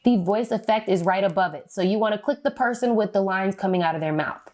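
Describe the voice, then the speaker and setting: A woman's voice: medium pitch, fast, darkish tone. Chatty American woman giving a casual tutorial. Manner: informal, slangy, chatty. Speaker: beauty vlogger giving a tutorial. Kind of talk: casual step-by-step tutorial chat.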